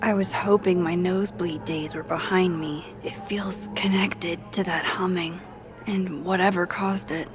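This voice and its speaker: A woman's voice: fairly low pitch, fairly even intonation, a little slow, over music, natural voice. A woman voicing an awkward, introspective young photographer in a naturalistic video game style. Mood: hushed musing. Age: young adult. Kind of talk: naturalistic video game acting, dialogue and musing.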